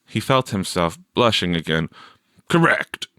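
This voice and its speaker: A man reading a story aloud as a scripted narration, with measured, clear phrasing. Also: low-pitched, a bit bright, no echo, studio quality. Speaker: audiobook reader.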